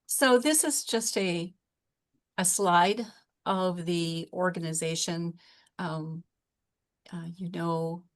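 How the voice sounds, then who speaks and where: A woman with a fairly low voice gives an informal presentation to colleagues. She talks very slowly and hesitantly, leaving frequent pauses between short phrases. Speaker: organization leader presenting on a call.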